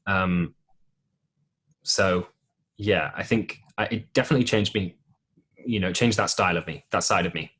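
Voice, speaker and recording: A young man with a deep voice telling stories in a casual podcast interview, with plenty of ums and restarts. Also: moderate pace, pauses often, breathy edge. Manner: relaxed storytelling with many ums and restarts. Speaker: podcast guest, musical theatre performer.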